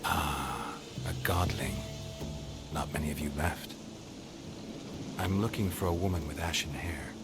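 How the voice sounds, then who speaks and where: A gruff monster hunter for a fantasy game cutscene. His voice is deep and gravelly, his delivery terse and deadpan, and loud music plays behind him. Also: measured pace, pauses often. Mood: gentle, questioning. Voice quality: low, gravelly. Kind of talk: video game cutscene acting.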